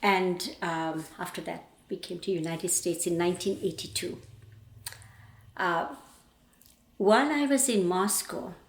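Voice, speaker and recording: Slow-spoken older Bangladeshi woman. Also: lowish voice. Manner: thoughtful, halting with pauses. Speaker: painter. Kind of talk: reflective personal interview. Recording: roomy, echoey indoor sound.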